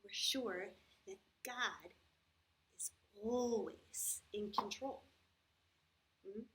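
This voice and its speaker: A woman hosting a story time for young children, talking in simple, patient, expressive phrases with frequent questions. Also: mid-range voice, very slow, a little hiss or hum. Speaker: children's church story host.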